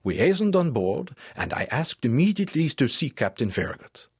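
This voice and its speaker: A man's voice: mid-range voice, big pitch swings, normal speed, darkish tone, husky, no echo, clean studio sound. A man playing an eager French professor who narrates his sea adventure in a formal, theatrical audiobook drama style. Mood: measured narration. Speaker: narrator and lead actor.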